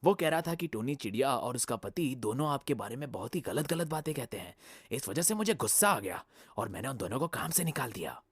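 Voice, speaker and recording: A woman's voice: extremely low pitch, sing-song, brisk, breathy edge, no room sound, clean studio sound, voice pushed down, exaggerated voice. A woman voicing a male kite bird, an employer in a cartoon, who explains himself plainly. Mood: explaining.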